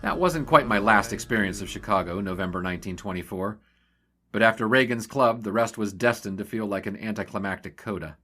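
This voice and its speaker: A bright-voiced brash 1920s sportswriter. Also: male voice, lowish voice, fairly quick. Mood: wry. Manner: cocky, wordy, wisecracking. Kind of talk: old-time radio drama acting and narration.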